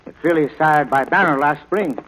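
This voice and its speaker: An actor playing a loyal, plain-spoken Swedish ranch hand, folksy and deferential, in a 1940s radio drama. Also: male voice, on the high side, moderate pace, fairly bright tone, slight background noise, close to an everyday voice, poor audio. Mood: alert, matter-of-fact.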